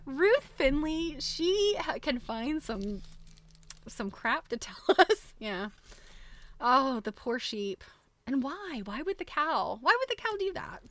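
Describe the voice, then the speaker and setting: A woman hosting a live book reading, reading aloud with expressive, giggly, chatty asides. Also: high voice, slow pace. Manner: giggly, reacts expressively to what is read.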